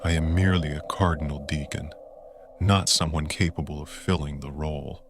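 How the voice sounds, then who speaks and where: Low-voiced courtly cardinal. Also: male voice, fairly even intonation, normal speed, over music. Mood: amused modesty. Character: modest, genial medieval French cardinal deacon. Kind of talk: audio drama acting.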